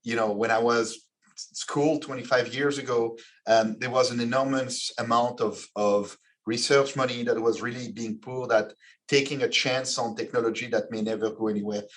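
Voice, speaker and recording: A man from Belgium in his forties, answering questions as a podcast guest in a measured way, with frequent restarts and fillers. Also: mid-range voice, steady tone, poor audio. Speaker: guest, finance executive.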